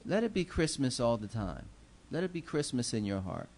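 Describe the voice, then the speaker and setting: A man's voice: mid-range voice, big pitch swings, moderate pace, darkish tone, a little hiss or hum. A middle-aged man, a city commissioner, giving earnest, preacherly holiday remarks into a desk microphone in a council chamber. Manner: earnest, preacherly, many fillers. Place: council chamber, seated at dais. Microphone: desk microphone on gooseneck.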